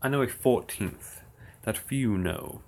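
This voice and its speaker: A man reciting old verse slowly and steadily on his livestream, with dry asides. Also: mid-range voice, darkish tone. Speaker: occult streamer. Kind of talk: reading verse aloud on a livestream.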